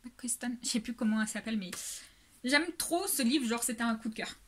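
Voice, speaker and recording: Chatty young woman, fairly high voice. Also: a little fast, fairly bright tone. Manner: chatty, rambling with many fillers. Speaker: book channel host. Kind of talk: casual Q&A vlog.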